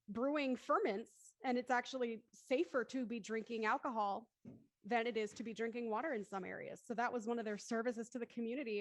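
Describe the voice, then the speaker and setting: American lady, higher voice, explains stuff in casual panel chat, dry close sound. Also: no echo. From United States. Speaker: talk show panelist.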